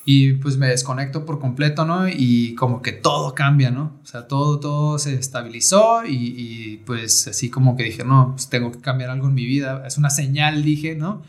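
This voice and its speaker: A young adult man from Baja California, a podcast guest telling his life story in relaxed conversation full of slang and fillers. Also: mid-range voice, normal speed, few pauses. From Mexico (Baja California). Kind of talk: casual conversation.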